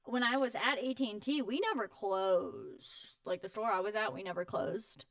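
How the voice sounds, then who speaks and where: Woman, twenties, effusive high-energy interview guest, informal, quick to laugh, dry recording. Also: creaky, no echo. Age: 20s. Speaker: interview guest, home warranty company representative. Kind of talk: casual chat.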